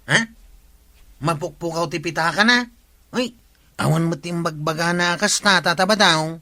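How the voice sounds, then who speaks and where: Bright, highish Ilocano comedy villager. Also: male voice, moderate pace, no echo. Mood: puzzled. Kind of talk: Ilocano comedy radio drama acting.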